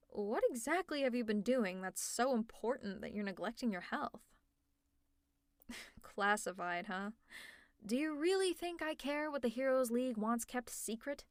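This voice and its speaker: Woman doing a teasing villain lady, kinda high voice, worried and bossy, dry close mic. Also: dry recording. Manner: teasing, exasperated and concerned. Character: teasing caring female villain, young adult. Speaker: ASMR roleplay creator. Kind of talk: audio roleplay as a villain speaking to a listener.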